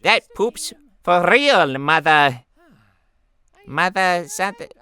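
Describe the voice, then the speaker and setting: Goofy cartoon game hero, mock-tough gangster talk, high, bright, slangy. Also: male voice, lively intonation, extremely slow, clear, resonant tone, dry recording, full character voice. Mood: swaggering.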